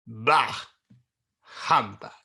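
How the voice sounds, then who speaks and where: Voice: mid-range voice, drawn-out words, creaky, pushed and bright, breathy edge, heavily acted. An actor playing a bitter, miserly old businessman in a radio play, scornful, emphatic and theatrical. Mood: curt, scornful.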